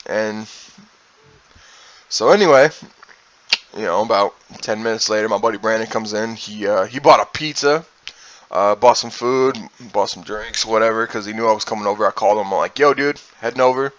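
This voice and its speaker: A man's voice: on the low side, moderate pace, fairly bright tone, highs cut off. A man, a streamer, telling a personal story casually in a rambling way, acting out bits of dialogue as he goes. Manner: rambling, acts out quoted dialogue, mild profanity.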